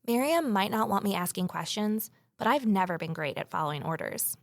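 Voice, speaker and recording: A woman's voice: medium pitch, lively intonation, fairly quick, vocal fry, no echo, studio quality. An actress playing a determined, curious woman investigator in a scripted mystery audio drama, with a wry, conversational manner. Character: determined, curious ex-journalist woman, defiant amateur sleuth. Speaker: narrator and lead character.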